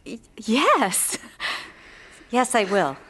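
An affectionate girlfriend with a fairly high, very animated voice. She is practical and easily hurt, in the manner of radio drama. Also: drawn-out words, breathy edge, heavily acted. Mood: happy.

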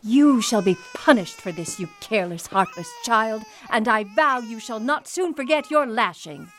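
A woman's voice: sits high, big pitch swings, normal speed. A woman playing a cold, commanding plantation mistress in an audio drama, scolding and strict. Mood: furious. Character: stern, cold slave-owning mistress of a plantation house.

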